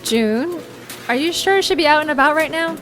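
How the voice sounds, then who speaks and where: A woman playing an eager, bold and impulsive young woman in an audio drama, talking plainly and excitably. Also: high-pitched, fairly quick, over music.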